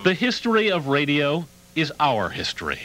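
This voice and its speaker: A man's voice: a little high, huge pitch swings, normal speed, pushed and bright. An American man narrating a scripted television news report as a correspondent. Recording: noisy archival TV news audio.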